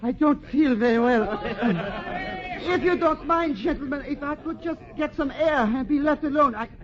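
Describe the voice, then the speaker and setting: High-pitched, polite balloonist. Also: male voice. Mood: dizzy, weak. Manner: halting, pleading. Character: dizzy, polite French balloonist, weary and protesting. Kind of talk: 1940s radio acting. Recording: dull 1940s radio recording, crowd behind.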